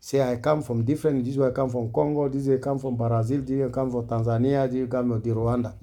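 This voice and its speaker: Mellow African man making an earnest appeal. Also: mid-range voice, level tone, fast talker. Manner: earnest, exhorting, repetitive. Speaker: speaker addressing online viewers. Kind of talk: impassioned informal speech to camera.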